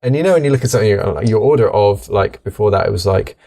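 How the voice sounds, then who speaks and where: A man's voice: lowish voice, lively intonation, rapid-fire, warm tone, no echo. A young man hosting a podcast in casual chat, making dry jokes, teasing his co-hosts and swearing casually. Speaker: podcast host.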